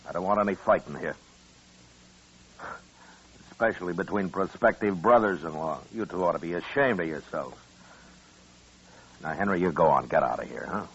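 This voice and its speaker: A weary frontier lawman in an old radio western. His low voice is blunt, laconic and full of authority, on a muffled vintage recording. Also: normal speed, long pauses, slightly rough. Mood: commanding. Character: firm, weary, peacekeeping male US Marshal. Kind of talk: 1950s radio western acting.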